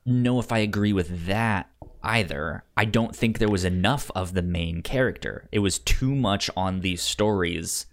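A man co-hosting a casual film discussion podcast, halting and repeating words as he works out his opinions. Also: sits low. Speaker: podcast co-host reviewing a film.

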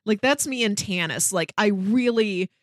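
A woman's voice: mid-range voice, normal speed, dry recording, clean studio sound. A woman co-hosting a podcast, chatting casually and enthusiastically as she recommends things in a discursive, conversational way. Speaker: podcast co-host. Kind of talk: casual review chat, recommending audio dramas.

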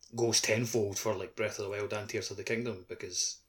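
A man's voice: lowish voice, steady tone, fairly quick, a bit bright. A man co-hosting a podcast, chatting casually about video games. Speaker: podcast co-host. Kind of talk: casual gaming podcast chat.

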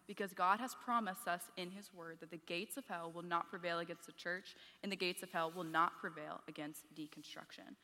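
A woman cohosting a live podcast, speaking in a measured way and affirming her guest often. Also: lowish voice, steady tone, pushed and bright. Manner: measured, affirming, quick "yeah"s. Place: conference stage with hall. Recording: live hall reverb.

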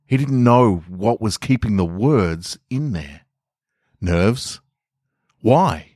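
A man's voice: fairly low pitch, lively intonation, slow pace, a little mellow, dry recording, studio quality. A man hosting a podcast, talking casually and reading short stories aloud as narrator. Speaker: podcast host and author reading own stories.

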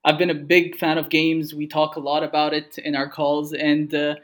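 A man's voice: on the high side, moderate pace. A young man from Egypt, a game studio co-founder, telling stories in casual conversation with many fillers. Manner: relaxed storytelling with frequent fillers.

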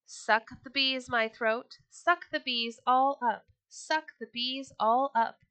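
A woman voicing a plucky, stubborn little male rooster with shrill crowing cries. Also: expressive, measured pace, a bit bright. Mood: chanting a charm.

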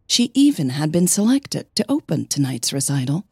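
A woman narrating scripted audio fiction in a measured storytelling manner, phrase by phrase. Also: deep voice, big pitch swings, mellow, slightly rough, no room sound, studio quality. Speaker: podcast host and narrator.

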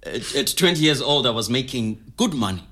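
A man's voice: medium pitch, animated, normal speed, fairly bright tone, lightly acted. An actor playing an easygoing, wry man recalling his younger days in a conversational storytelling style. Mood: wry, reminiscing. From Zimbabwe. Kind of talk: scripted oral-history storytelling in conversational manner.

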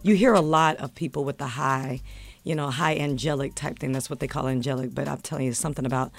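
A woman singer with a deep, rich voice, a guest in a radio studio, talking in a laid-back way with many short affirmations. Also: fairly even intonation, quick pace, creaky. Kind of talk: radio interview.

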